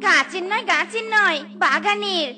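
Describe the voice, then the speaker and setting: Very high voice, bossy young fox wife, cartoon schemer, exclamatory, loud music underneath. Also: female voice, normal speed. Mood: brash, scheming. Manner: theatrical, exclamatory. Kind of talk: cartoon voice acting. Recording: children's cartoon music behind voice.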